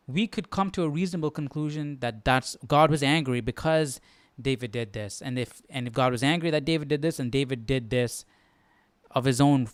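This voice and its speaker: A man's voice: medium pitch, no echo. A man hosting a live Bible study, commenting casually and thinking aloud, often checking himself with a questioning tag. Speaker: host.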